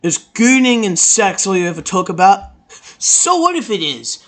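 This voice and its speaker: A man performing a crude, profane, improvised comic anime fandub with halting delivery. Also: high voice, big pitch swings, a bit bright. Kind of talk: comedic improvised anime parody dub.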